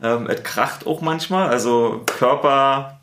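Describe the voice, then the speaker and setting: A man's voice: medium pitch, unhurried, reverberant, a little hiss or hum. Chatty man with a Berlin accent. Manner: relaxed, chatty, many fillers. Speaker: sports TV presenter and editor. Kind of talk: casual interview answers. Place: studio.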